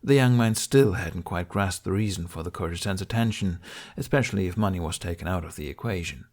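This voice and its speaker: A man narrates an audiobook in a low-pitched voice. He reads scripted prose as a storyteller, carrying the tale along line by line. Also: fairly quick, darkish tone.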